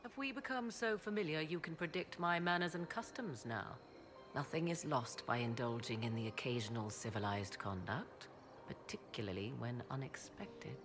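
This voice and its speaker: A woman's voice: a bass voice, moderate pace. A woman playing a haughty, learned, enigmatic sorceress in a fantasy game, speaking in formal, archaic diction. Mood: haughty, cool. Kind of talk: dramatic fantasy game voice acting. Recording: loud game music behind the voice. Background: game soundtrack music.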